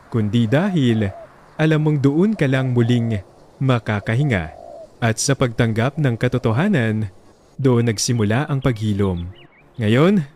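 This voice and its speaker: A man with a Filipino accent reading a scripted dramatic love story as narrator, in solemn, measured phrases. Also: medium pitch, a little mellow, a little hiss or hum.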